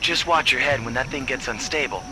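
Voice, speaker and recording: An actor playing a suave, supportive raccoon thief with a casual, wry delivery in a cartoon video game. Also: male voice, mid-range voice, a little fast, background noise, close to an everyday voice. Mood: easygoing. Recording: game music behind voice. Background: game music.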